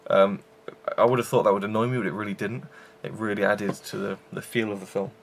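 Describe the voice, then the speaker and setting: A man's voice: lowish voice, fairly even intonation, fast talker, creaky, slight background noise. A man hosting a casual film review show, rambling with enthusiasm and many fillers and restarts.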